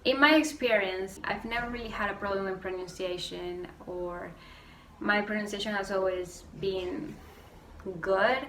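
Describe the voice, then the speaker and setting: A young woman from Venezuela, with a Venezuelan accent and Spanish as her first language, ranting casually to camera with lots of fillers. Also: mid-range voice. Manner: quick, animated storytelling with many fillers. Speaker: vlogger. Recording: roomy echo, distant mic, faint noise.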